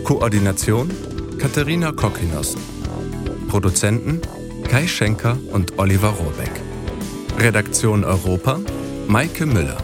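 Low-voiced male announcer over loud guitar music. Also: very animated, unhurried, pauses often. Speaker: announcer reading closing credits. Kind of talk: scripted credits announcement.

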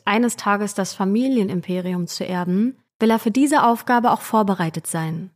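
A woman co-hosts a true-crime podcast, telling a scripted story in a conversational way that addresses listeners. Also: mid-range voice. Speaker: podcast co-host.

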